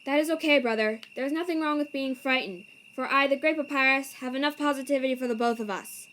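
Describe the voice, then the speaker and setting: A woman voicing a boastful but tender-hearted, naive skeleton with grand, formal phrasing in an animated comic dub. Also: high voice, fast, slight background noise, voice pushed up. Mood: reassuring, proud. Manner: grandiose, formal wording without contractions.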